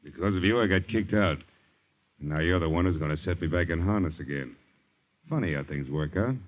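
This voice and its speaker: A man voicing a gruff, vengeful ex-cop in a 1940s radio drama, his voice very low and heavy. He talks in blunt threats, and the old broadcast sound is muffled. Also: brisk, natural voice. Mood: menacing. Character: bitter vengeful ex-cop, tough and menacing.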